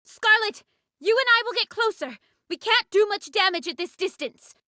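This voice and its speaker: A woman's voice: very high voice, expressive, normal speed, sharp tone, dry recording, clean studio sound, full character voice. A woman playing a caring, decisive android squad leader in a game, with a direct, commanding manner. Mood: urgent, commanding. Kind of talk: anime-style game voice acting.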